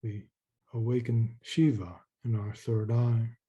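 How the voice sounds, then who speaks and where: A man leading a guided meditation in a soft, slow, calming way, with long pauses and repeated words. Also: mid-range voice, breathy edge, lo-fi sound.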